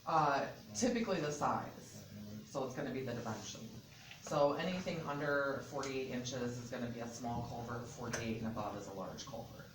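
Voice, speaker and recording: A man from the state transportation office walking county officials through a transfer agreement section by section, in a loud, methodical voice. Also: medium pitch, normal speed. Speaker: state transportation department representative. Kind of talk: explaining an agreement at a public meeting. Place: county meeting room. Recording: echoey hall, distant mic, background noise.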